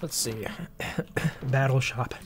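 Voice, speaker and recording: Guy streaming a game, bit off from a cold, mid pitch, rambles along as he plays, faint game music under him. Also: slow pace. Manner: chatty, thinks aloud while playing. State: getting over a cold. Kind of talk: casual livestream chat while playing.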